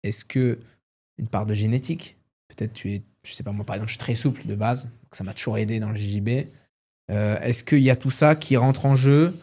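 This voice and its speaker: A man's voice: fairly low pitch, brisk, darkish tone. A man hosting a casual interview, chatty and piling one question onto the next. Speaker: podcast host, interviewer.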